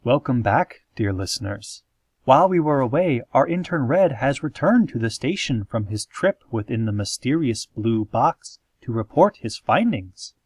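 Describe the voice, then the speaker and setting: A man playing a calm, formal small-town radio host, narrating a parody horror-comedy show in measured, deadpan phrases with drawn-out dramatic moments. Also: mid-range voice, no room sound. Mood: calm, ominous deadpan. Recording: music playing behind the voice. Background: soft guitar music.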